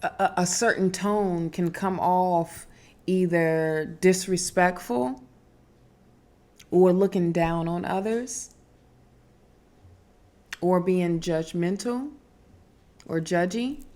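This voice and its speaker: A woman hosting a casual spiritual advice talk, speaking emphatically with fillers and restarts and a strong, loud voice. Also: lowish voice, slow, pauses often. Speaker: spiritual wellness host.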